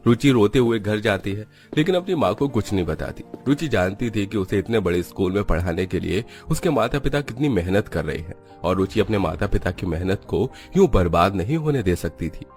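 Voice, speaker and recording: A man's voice: on the low side, animated, brisk, few pauses, a little mellow. A man reading scripted narration for a children's moral story. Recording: music behind the voice.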